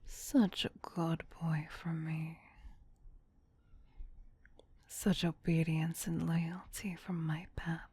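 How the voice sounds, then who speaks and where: A woman playing a doting, possessive vampire queen in an ASMR roleplay, whispering softly and soothingly in an intimate, coaxing manner. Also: low voice, flat delivery, very slow, long pauses, full character voice. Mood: teasing, praising. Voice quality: breathy, soft whisper.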